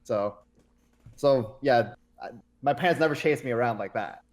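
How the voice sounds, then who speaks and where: A man's voice: medium pitch, normal speed. A man born in Korea and raised partly in the US, a native Korean speaker, answering casually as an interview guest. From born in Korea, raised between Korea and the US. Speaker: podcast guest, esports agent.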